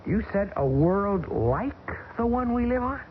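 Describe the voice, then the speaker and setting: A man's voice: high voice, big pitch swings, measured pace. An actor playing a bewildered, skeptical man who stammers and questions everything in an old radio drama. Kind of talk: 1970s radio drama acting. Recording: tinny old radio broadcast with hiss.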